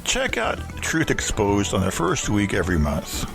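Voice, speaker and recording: Gravelly low male promo voice over music. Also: huge pitch swings, moderate pace. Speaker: podcast co-host. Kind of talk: scripted promo read with banter. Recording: music bed with guitar underneath.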